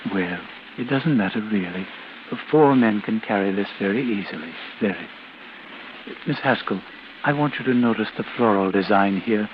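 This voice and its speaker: A man's voice: lowish voice, moderate pace, lightly acted. Actor doing oily polite undertaker guy, old radio mystery, salesman patter, muffled w some hiss. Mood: unctuous, persuasive. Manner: smooth salesman patter, solemnly courteous. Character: unctuous, solicitous mortician selling caskets. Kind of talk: 1940s radio drama acting.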